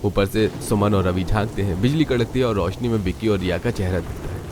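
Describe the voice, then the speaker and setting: A man narrating a Hindi cartoon story in an even storytelling voice. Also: lowish voice, fast, background noise.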